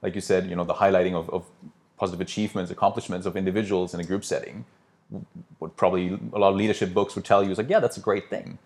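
Very deep, fast-talking male interviewer. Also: steady tone, a little mellow. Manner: brisk, fluent, prompting questions. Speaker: interviewer, consultant. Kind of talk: conversational business interview.

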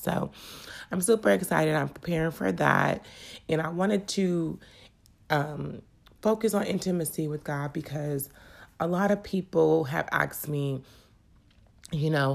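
Very low, gravelly woman podcaster. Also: darkish tone. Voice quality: crackly. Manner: earnest, personal, encouraging. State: congested with a cold. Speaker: podcast host. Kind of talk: faith podcast talk.

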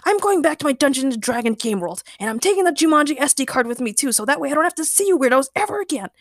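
Woman doing a bombastic armored fantasy villain, loud. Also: very high voice, sing-song, unhurried, gravelly, dry recording, studio quality, pitched above the performer's own voice, exaggerated voice. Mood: exasperated. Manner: loud, declaiming. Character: bombastic, irritable armor-clad man from a fantasy game.

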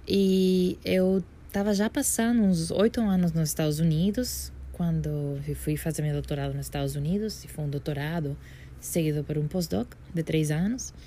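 Low-voiced Puerto Rican woman guest. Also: normal speed, dark tone, a little hiss or hum. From Puerto Rico. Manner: reflective, searching for words, self-correcting. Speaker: guest astrophysicist. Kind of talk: podcast interview answer.

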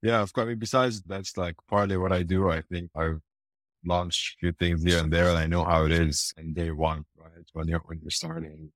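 A man from Lebanon, a conference founder, answering interview questions in a relaxed, hesitant way with frequent restarts. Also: low voice, normal speed, no room sound.

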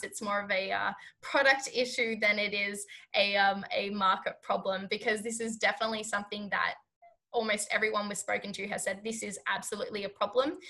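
A woman's voice: medium pitch, pushed and bright. A woman in her twenties, a startup founder being interviewed, talking at length with many fillers. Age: 20s. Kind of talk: casual podcast interview.

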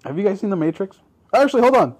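A man's voice: high voice, sing-song, quick pace, darkish tone. A young man whose first language is Spanish, hosting a casual chat with friends, laid-back, laughing often and saying "dude" and "like". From moved to the US at seven. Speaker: podcast host.

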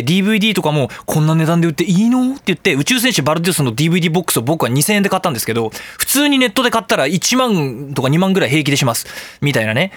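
A man's voice: a little high, fairly bright tone, no room sound. A middle-aged man hosting an internet radio show, chatting casually and comically in a geeky ramble and acting out quotes as he goes.

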